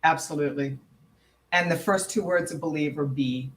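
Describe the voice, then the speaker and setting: A woman's voice: low-pitched, normal speed, rough recording. A woman hosting an interview, talking in a reflective way and thinking aloud. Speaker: host. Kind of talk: conversational interview.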